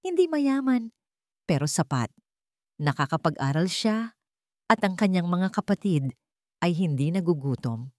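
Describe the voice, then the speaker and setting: A woman narrates a scripted drama in a measured, dramatic way. Her voice sits a little low, and it is recorded dry and close with no room sound. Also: sing-song, a little mellow, dry recording. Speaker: storyteller.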